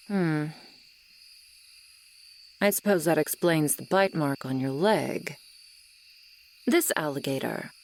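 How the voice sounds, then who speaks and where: Woman as a slow-talking, gruff tsundere swamp monster girl. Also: low-pitched, exaggerated voice. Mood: wary, musing. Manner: exasperated, bossy, scolding, deliberate. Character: tsundere female swamp monster, gruff but secretly caring rescuer. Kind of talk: ASMR audio roleplay.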